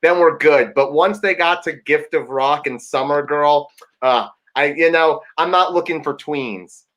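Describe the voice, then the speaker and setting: A man's voice: a little high, measured pace, fairly bright tone. A man playing a nerdy, hesitant man on a date in an improv comedy scene. He stammers and is pedantic about band trivia. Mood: awkward. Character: nerdy man dater, Smash Mouth fan.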